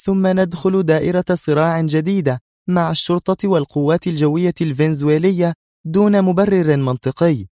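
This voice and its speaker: Man voicing a high, sharp, haughty young woman agent. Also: brisk, no echo, clean studio sound, natural voice. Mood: stern, scornful. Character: nervy, scornful young woman intelligence agent.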